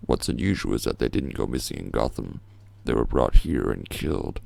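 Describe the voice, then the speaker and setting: A man playing a grim, brooding masked vigilante detective in a video game fan dub, speaking tersely and deliberately in a deep, gravelly voice. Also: animated, a little mellow, no echo, heavily acted.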